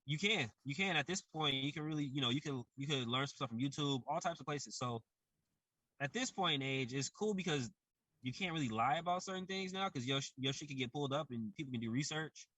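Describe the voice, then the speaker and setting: A man's voice: fairly high pitch, expressive, a little fast, bright, forceful tone, no room sound, rough recording. A young man co-hosting a podcast, explaining his views in casual chat with everyday comparisons. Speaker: podcast co-host.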